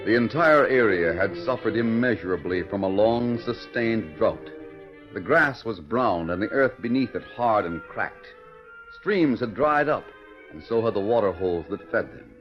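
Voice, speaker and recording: A man's voice: fairly low pitch, animated, moderate pace, faint music behind. A man with an American accent narrating a radio western in a grand, dramatic 1940s announcer style. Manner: grand, theatrical. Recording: muffled old radio broadcast recording.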